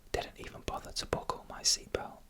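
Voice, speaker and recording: Bright, breathy whispered ASMR storyteller. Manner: whispers throughout, soft and unhurried. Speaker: narrator of scary UFO encounter stories. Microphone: close microphone for headphone listening.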